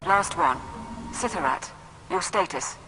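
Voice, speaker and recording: Brisk, pragmatic military aide, acted out. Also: medium pitch, faint music behind, natural voice. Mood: resigned, practical. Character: pragmatic, anxious military aide to a dark lord. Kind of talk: video game cutscene acting.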